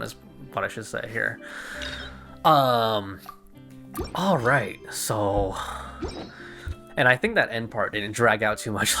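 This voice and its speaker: Male gaming streamer; low, bright voice, casual rambling commentary, thinking aloud. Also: creaky. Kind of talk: casual let's play commentary.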